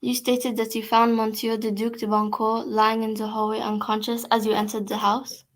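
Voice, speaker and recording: A woman's voice: a little high, little pitch movement, normal speed, close to an everyday voice. A woman playing a formal, authoritative prefect hearing a case in an amateur radio mystery play. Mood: formal, measured.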